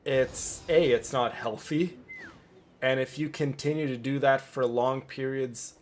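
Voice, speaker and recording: A man's voice: medium pitch, fairly even intonation, a little slow. A man streaming casually, talking in a boastful, rambling and repetitive way to his audience. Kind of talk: casual livestream chat.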